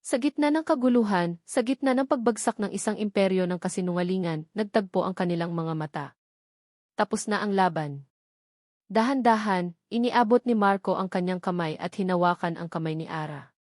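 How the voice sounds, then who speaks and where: A Filipino woman narrates a dramatic love story in a measured, expressive way. Her pitch sits in a middle female range. The recording is close and dry, with hardly any room sound. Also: no room sound.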